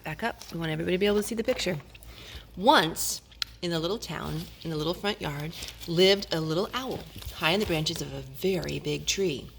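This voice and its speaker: A woman reading a storybook aloud, with casual asides to young children in an expressive manner. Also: lowish voice, creaky, a little hiss or hum.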